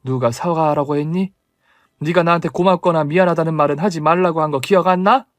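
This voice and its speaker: A man's voice: a little high, quick pace, no echo, close to an everyday voice. A man voicing a middle-aged mother who scolds her son in a raised, emphatic voice but speaks lovingly. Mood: scolding.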